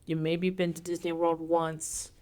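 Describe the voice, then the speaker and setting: Rambling woman guest, slightly low. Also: little pitch movement, a little slow, mellow, smooth. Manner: rambling, heavy on "like" fillers. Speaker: podcast guest. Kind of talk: casual chat.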